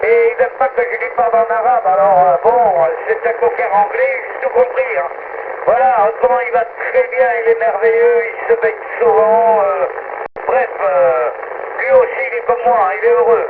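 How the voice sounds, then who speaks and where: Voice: medium pitch, wildly swooping pitch, normal speed, vocal fry. An amateur radio operator chatting casually over a two-way radio contact. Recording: tinny, noisy two-way radio transmission.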